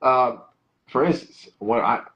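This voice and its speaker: A man's voice: medium pitch, slow, dull audio. A man co-hosting a podcast, speaking in an emphatic, conversational way. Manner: conversational, emphatic, backchannels often. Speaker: podcast co-host. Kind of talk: casual podcast discussion.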